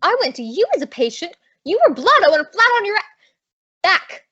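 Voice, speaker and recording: A woman's voice: extremely high pitch, very animated, normal speed, pushed and bright, dull audio, heavily acted. A woman playing a brash, plucky young wisecracking blonde in old-time radio comedy, slangy and indignant.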